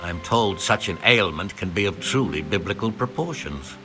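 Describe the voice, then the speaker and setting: A man's voice: deep voice, lively intonation, normal speed, music in the background. An actor playing a learned older master engraver in a video game, wordy and dryly sarcastic about his apprentice. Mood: wry. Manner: verbose, dryly sarcastic, ornate phrasing. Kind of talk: video game dialogue acting.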